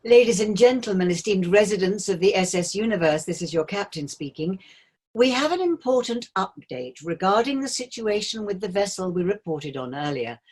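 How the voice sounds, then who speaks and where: Woman playing a husky, swooping ship's captain. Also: medium pitch, lively intonation, moderate pace, a little mellow. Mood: formal, grave. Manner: formal, officiously upbeat announcer delivery. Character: chirpy ship's captain making a public announcement. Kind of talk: staged reading, public address announcement.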